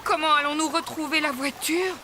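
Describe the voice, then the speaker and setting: An actress voicing a gentle, sensible mummy pig in a children's cartoon, with a motherly, encouraging manner. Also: high voice, fairly even intonation, moderate pace, background noise, natural voice. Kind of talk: children's cartoon dub acting.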